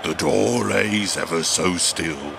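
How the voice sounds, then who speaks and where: An actor in an audio drama playing a pompous dog who fights and fancies himself a poet, speaking in grandiose, theatrical recitation with a gravelly voice. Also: male voice, low voice, slow pace, background noise, heavily acted. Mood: solemn reciting.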